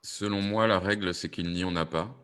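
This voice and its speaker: A man with a deep voice talks as a producer on a panel. His delivery is casual and unscripted, with restarted phrases and repetition to press his points. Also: fairly even intonation, normal speed, a bit bright, smooth. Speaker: panelist, audio fiction producer. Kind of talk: panel discussion, casual explanation.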